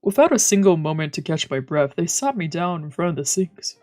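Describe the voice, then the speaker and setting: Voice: medium pitch, big pitch swings, a little fast, a little mellow. A streamer reading visual novel narration aloud, with casual asides, while music plays behind. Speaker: streamer, let's player.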